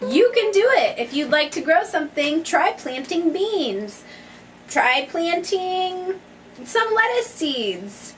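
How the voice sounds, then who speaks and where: A woman's voice: extremely high pitch, big pitch swings, takes it slowly. A woman, a mother, reading a children's picture book aloud and putting questions to her young listeners. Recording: noisy, distant microphone.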